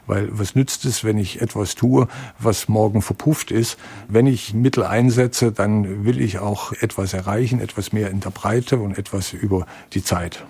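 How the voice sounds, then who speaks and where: A man's voice: on the low side, steady tone, moderate pace, vocal fry, mellow, slightly rough. A man speaking as a foundation executive in a reflective interview, explaining things matter-of-factly.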